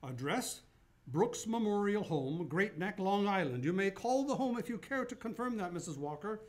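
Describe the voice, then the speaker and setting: A woman acting in a radio play as a kindly, unhurried elderly Santa Claus. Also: medium pitch, lively intonation, natural voice. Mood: calm, amused. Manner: genial, unhurried, gently amused.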